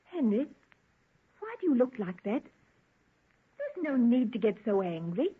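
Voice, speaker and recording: An actress playing an anxious, devoted young fiancée in an earnest, pleading golden age radio drama style. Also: female voice, fairly high pitch, sing-song, a little slow, slightly rough, full character voice. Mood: evasive, worried. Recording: tinny band-limited vintage radio recording.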